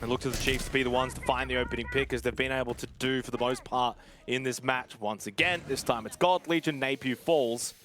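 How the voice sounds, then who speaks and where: A man calling a competitive shooter match play-by-play, energetic and rising to excited shouts on big plays. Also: medium pitch, brisk, background noise. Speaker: esports caster. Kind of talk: play-by-play esports commentary.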